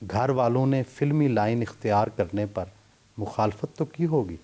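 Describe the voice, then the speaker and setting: A man asking formal interview questions and narrating in a measured way. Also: medium pitch, animated, warm tone. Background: music.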